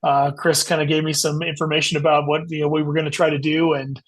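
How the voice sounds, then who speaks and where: A man's voice: a little high, little pitch movement, fast talker, low-quality recording. A man speaking as a guest author in a casual interview, explaining things in a conversational way.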